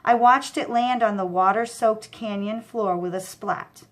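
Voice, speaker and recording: A woman's voice: mid-range voice, a little slow. Woman reading a children's adventure aloud. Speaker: storyteller. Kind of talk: expressive read-aloud narration of children's novel.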